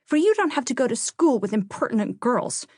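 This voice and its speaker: A woman's voice: on the high side, very animated, brisk, darkish tone, dry recording, clean studio sound, voice pushed up. A woman voicing a prim, dramatic youngest sister, a little girl with an affected, self-important manner, in an audiobook. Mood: indignant.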